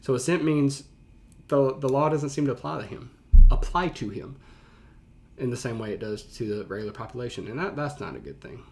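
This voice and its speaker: A man's voice: medium pitch, lively intonation, brisk, creaky, a little mellow, a little hiss or hum. A man with a mid-range voice reacts and explains in the way of a teacher. He talks casually and conversationally, leaning on key words for emphasis.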